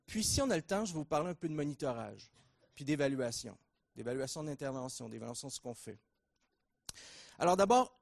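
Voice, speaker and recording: Joking Québécois man giving a lecture. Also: on the high side. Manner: relaxed, joking, with fillers and restarts. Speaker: invited lecturer at a training conference. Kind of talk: conference lecture.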